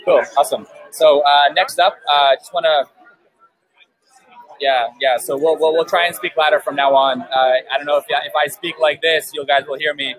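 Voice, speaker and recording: A man's voice: on the high side, steady tone, normal speed, fairly bright tone, rough recording. A man hosting a casual live-stream interview at a busy event, talking loosely with many fillers through a headphone mic. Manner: chatty, loose, apologetic, full of fillers. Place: busy outdoor event beer tent.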